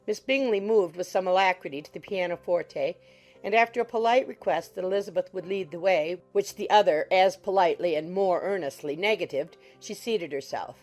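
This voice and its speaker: A woman's voice: fairly low pitch, a little fast. A woman reading scripted literary narration as an audiobook narrator. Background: soft music.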